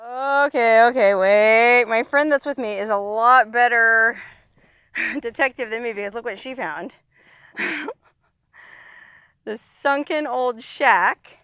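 A woman in her thirties, excitable and easily amazed, narrating as she explores outdoors and inside an old mine, talking into a handheld camera. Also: on the high side, takes it slowly, long pauses, clear, resonant tone, no echo, studio quality.

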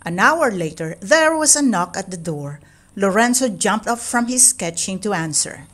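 A woman narrating a children's storybook as an expressive read-aloud, stressing key words with deliberate drama. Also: medium pitch.

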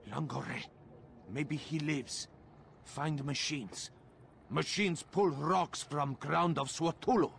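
A grave alien villager, barking short broken orders in a video game. His voice is gravelly and somewhat high, the delivery slow and weighty. Faint ambience hums underneath. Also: fairly bright tone, a little hiss or hum, full character voice. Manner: terse broken commands. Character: Na'vi villager guide, grave and urgent.

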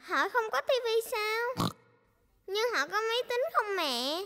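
A performer voicing a cheerful, bossy little girl piglet in a children's cartoon dub, childlike and expressive. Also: very high-pitched, measured pace, no echo, clean studio sound, full character voice. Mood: curious, delighted.

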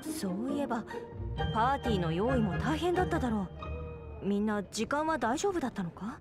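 A woman's voice: a little high, very animated, fairly quick. A woman playing a dutiful young knight commander in an anime game, speaking with formal, stoic phrasing. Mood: grateful, sincere. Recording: game audio with music behind.

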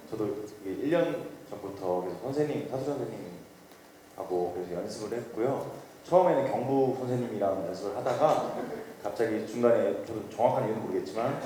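Modest low-voiced actor in an echoey hall. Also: male voice, warm tone. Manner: modest, chatty. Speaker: actor at a press event.

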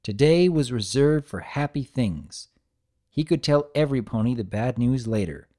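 Man narrating a story with lively pitch. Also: normal speed. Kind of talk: dramatic fan-fiction story reading.

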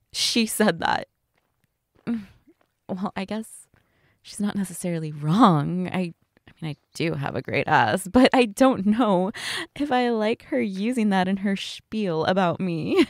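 Woman acting a flirty, slow-talking date, creaky voice. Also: medium pitch, animated, no room sound, clean studio sound. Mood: flattered, flirty. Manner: giggly, hesitant, teasing, conversational. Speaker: audio roleplay voice actress.

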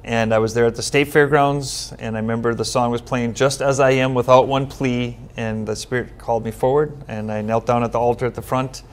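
This is a middle-aged man from Minnesota, a longtime Methodist pastor, seated at a table in a studio. He explains things steadily and earnestly, with everyday fillers and a voice of ordinary pitch. His words come through clean and close. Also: flat delivery, brisk, few pauses. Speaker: United Methodist pastor, guest. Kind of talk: earnest interview answers. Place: studio set with bookshelf backdrop, seated at table.

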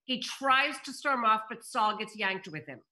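A woman's voice: fairly high pitch, expressive, moderate pace, bright, forceful tone. A middle-aged woman hosting a table read over video call, reading stage directions and addressing viewers. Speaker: table read narrator and host.

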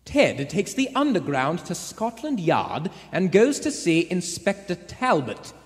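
A man's voice: sits high, huge pitch swings, a little slow, heavily acted. Guy doing dramatic 40s radio narrator, voice swoops around, big echoey theater. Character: brisk dramatic male announcer narrating a thriller. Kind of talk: 1940s radio acting, scripted narration. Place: on a theater stage.

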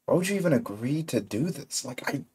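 A man's voice: medium pitch, animated, brisk, darkish tone, a little hiss or hum. A man giving casual, slangy reaction commentary, informal and incredulous, recorded indoors in a bedroom. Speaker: reaction video creator.

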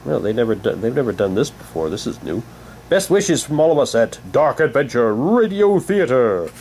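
A man's voice: mid-range voice, very animated, moderate pace, darkish tone. Man in a noisy home recording. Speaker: unboxing vlogger. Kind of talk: casual commentary, reading prop documents aloud.

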